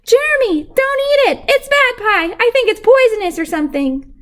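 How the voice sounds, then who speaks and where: A woman voicing an earnest, excitable young boy in a storybook, with emphatic delivery. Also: very high voice, moderate pace, pitched above the performer's own voice. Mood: alarmed.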